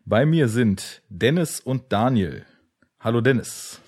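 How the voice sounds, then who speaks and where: A man's voice: mid-range voice, animated, slow pace, a little mellow, husky. A man hosting a podcast, talking casually about films with his guests. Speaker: podcast host. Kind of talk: casual film podcast talk.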